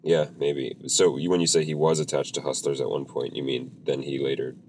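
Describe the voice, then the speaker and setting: A man with a very deep voice, talking through a cold. He co-hosts a casual podcast chat with lots of ums and restarts, and a faint hiss sits under the recording. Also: level tone, brisk, a little mellow. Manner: hesitant, many ums and restarts. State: has a cold, voice a bit off. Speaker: podcast co-host.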